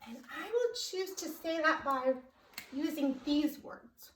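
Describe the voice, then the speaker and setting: A woman's voice: high voice, lively intonation, takes it slowly, fairly bright tone, a little hiss or hum. A woman telling a story to preschoolers as a children's librarian, in a sing-song, child-directed way with frequent questions. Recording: roomy echo.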